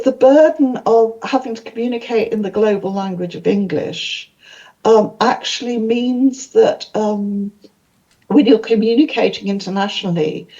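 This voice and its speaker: An older woman whose first language is Welsh, speaking thoughtfully on a conference panel with halting restarts. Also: on the high side, mellow, dull audio. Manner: thoughtful, many fillers and restarts.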